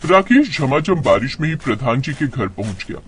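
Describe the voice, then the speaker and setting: A man's voice: medium pitch, big pitch swings, normal speed, slight background noise. A man narrating a scripted moral story as its storyteller.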